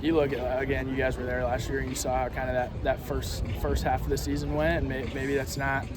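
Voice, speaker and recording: A man's voice: medium pitch, little pitch movement, a little fast, heavy background noise. A young college baseball player answering postgame questions at a press conference, often repeating words as he begins a thought. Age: young adult. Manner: repeats words when starting phrases.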